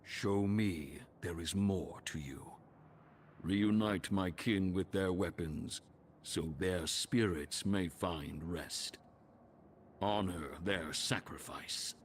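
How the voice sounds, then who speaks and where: A man's voice: sits low, slow pace, a little hiss or hum. A man voicing a stern, proud ancient chieftain's spirit in a fantasy game, speaking in grave, formal oratory. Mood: stern, challenging. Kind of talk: scripted fantasy game voice acting.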